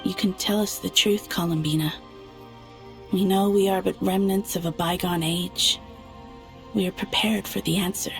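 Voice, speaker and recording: A woman playing a grave, stately moon goddess in a game cutscene, speaking calmly and deliberately in formal phrasing. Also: medium pitch, creaky, lightly acted. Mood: resigned. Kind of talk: dramatic game cutscene acting. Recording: background music under the voice.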